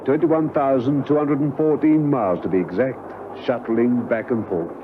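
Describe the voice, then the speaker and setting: A man playing a gloating, coolly menacing villain in a classic spy radio drama, speaking in a measured way. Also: mid-range voice, fairly even intonation, natural voice. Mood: gloating menace. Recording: noisy, muffled phone-like vintage radio recording.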